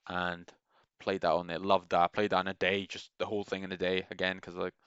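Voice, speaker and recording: A man with a very low, smooth and resonant voice talks through a loose, rambling monologue with plenty of enthusiasm and frequent restarts. The sound is dry and a little dull, like a lo-fi recording. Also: monotone, fairly quick, a bit bright, no echo. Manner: meandering, enthusiastic, many restarts. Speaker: gaming video creator.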